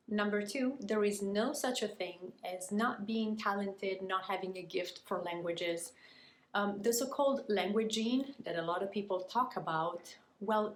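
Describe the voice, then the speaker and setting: A woman from Italy, a native Italian speaker with an Italian accent, gives a prepared educational talk as a language coach, articulate and deliberate in her emphasis. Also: mid-range voice. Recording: echoey room with faint noise.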